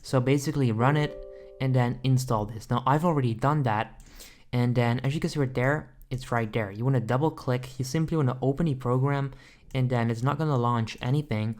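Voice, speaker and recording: Casual man giving a software tutorial. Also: mid-range voice, moderate pace. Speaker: tutorial host.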